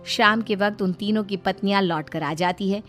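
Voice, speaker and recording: A woman's voice: mid-range voice, moderate pace, faint music behind. A woman reading scripted narration for a moral story as its storyteller.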